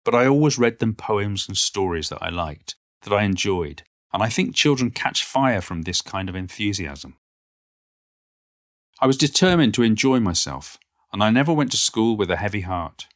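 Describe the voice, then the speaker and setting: A man's voice: fairly low pitch, expressive, normal speed, no room sound, clean studio sound, close to an everyday voice. A man playing an elderly poet and former schoolteacher, reminiscing in a modest, wry and reflective monologue. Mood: fond, enthusiastic. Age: older. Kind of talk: reflective first-person monologue, read from a book.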